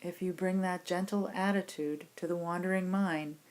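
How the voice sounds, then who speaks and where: Female meditation teacher, lowish voice, gentle and reassuring, leading a guided relaxation practice. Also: fairly even intonation. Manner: gentle, unhurried, reassuring instruction. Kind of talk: guided body scan meditation instruction.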